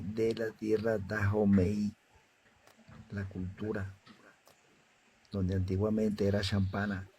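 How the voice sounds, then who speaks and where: A man speaking as an elder religious guest, lecturing in a measured, deliberate way. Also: on the low side, darkish tone, a little hiss or hum, poor audio. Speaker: elder Ifá priest guest. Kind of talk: slow teaching talk.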